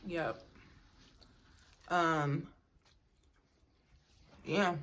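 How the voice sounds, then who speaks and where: A woman's voice: low-pitched, steady tone, very slow, fairly bright tone. A young woman talks casually to her viewers. She rambles a little, fills gaps with "like" and "you know", and keeps calling the audience y'all. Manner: chatty, addresses viewers as y'all, many fillers. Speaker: mukbang vlogger. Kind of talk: casual storytime chat.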